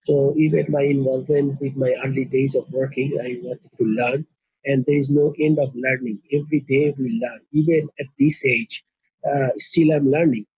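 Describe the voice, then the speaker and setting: Earnest middle-aged man from Bangladesh, husky, lots of "you know", muffled line. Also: mid-range voice, fairly even intonation, moderate pace, a little mellow, dry recording. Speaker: guest, company co-founder. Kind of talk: casual interview. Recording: muffled, dry lo-fi sound.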